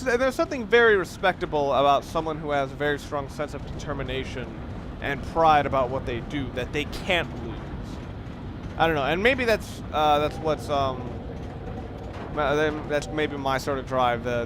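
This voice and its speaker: A man's voice: on the high side, moderate pace, background noise. A man giving casual, rambling let's play commentary, explaining and reflecting as he plays, with game sounds behind him. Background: video game sounds.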